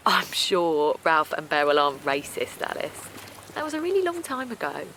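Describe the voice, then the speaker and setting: A woman's voice: low-pitched, sing-song, normal speed, a bit bright, a little hiss or hum, exaggerated voice. An actress playing a naive, kindly middle-aged village woman in an audio drama, hesitant and defensive, with colloquial phrasing. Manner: hesitant, colloquial, protesting, repeats herself. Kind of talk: naturalistic audio drama acting.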